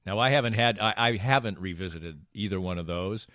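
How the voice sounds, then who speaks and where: A man's voice: on the low side, a little fast, a bit bright, no room sound, studio quality. A middle-aged American man in casual podcast banter, telling anecdotes in a rambling way. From Massachusetts, USA. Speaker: podcast co-host.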